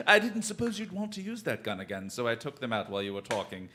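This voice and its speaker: An actor playing a cool, wryly amused detective in a radio drama, polite and unruffled. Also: male voice, mid-range voice, expressive, fairly quick, noisy background, lightly acted. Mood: wry amusement.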